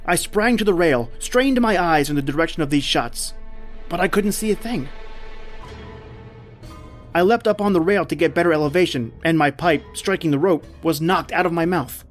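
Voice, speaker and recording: A man's voice: fairly high pitch, normal speed, faint music behind, lightly acted. A man acting a confident, dismissive big-game hunter who narrates his ordeal in an old-time radio drama. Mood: tense.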